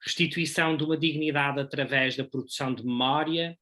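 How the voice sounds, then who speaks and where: A man acts as moderator of a live discussion. His voice is bright and forward, with little rise and fall in pitch. He speaks informally, as someone summing up for a group. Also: fairly even intonation, fairly quick. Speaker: panel moderator.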